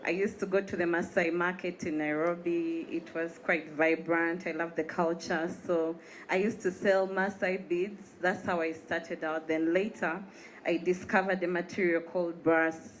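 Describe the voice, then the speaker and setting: A woman's voice: fairly low pitch, steady tone, moderate pace, slight background noise, low-quality recording. A woman from Uganda introducing herself and her fashion business at a live event, telling her story in a friendly way. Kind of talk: casual onstage talk.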